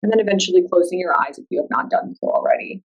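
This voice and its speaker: A woman guiding a meditation in a calm, soothing, unhurried way, leaving long pauses between instructions. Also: fairly low pitch, muffled recording. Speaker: yoga nidra meditation guide. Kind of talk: guided meditation.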